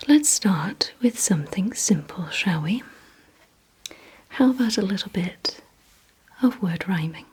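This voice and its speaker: A woman whispering an ASMR roleplay as a composed, commanding vampire, giving slow, deliberate, coaxing instructions in a breathy voice. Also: fairly low pitch, darkish tone, low-quality recording. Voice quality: breathy, mostly unvoiced whisper. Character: composed, commanding female vampire quizzing her listener. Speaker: ASMR performer.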